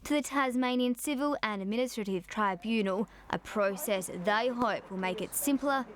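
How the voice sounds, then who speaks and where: A woman reporter reading a scripted news voice-over in a steady, formal way. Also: fairly high pitch, moderate pace, a little hiss or hum.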